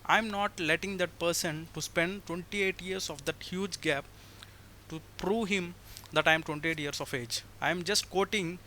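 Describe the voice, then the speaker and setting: Bright-voiced young Indian man teaching scripture. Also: high-pitched, normal speed, poor audio. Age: 20s. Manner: didactic, formal, repeats key phrases. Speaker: religious teacher answering criticism. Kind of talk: scripture explanation to camera. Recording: faint background noise.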